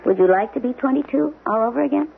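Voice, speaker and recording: An actress playing a sharp, teasing wife who keeps a close, quick eye on her husband's moods. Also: high-pitched, lively intonation, creaky, full character voice. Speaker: supporting lead. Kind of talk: 1970s radio drama acting. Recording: tinny lo-fi old radio broadcast with faint hiss.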